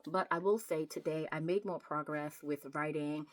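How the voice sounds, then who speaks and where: Low-pitched woman, emphatic casual vlogger. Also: fairly even intonation, moderate pace. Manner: emphatic, repeats words for stress. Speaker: book vlogger. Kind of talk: casual chatty vlog about writing.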